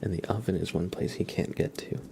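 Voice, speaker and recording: A man hosting an ASMR livestream, speaking softly in a murmur that often slips into whispers. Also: deep voice, fairly even intonation, fairly quick, creaky, background noise. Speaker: livestream host. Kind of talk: soft-spoken ASMR livestream.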